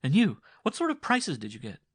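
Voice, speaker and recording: A man's voice: a little high, huge pitch swings, brisk, breathy edge, no echo, voice pushed up, exaggerated voice. A man voicing a talkative, prying peasant wife in an audiobook story, chattering and insistent. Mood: prying, chatty. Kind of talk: dramatized audiobook dialogue.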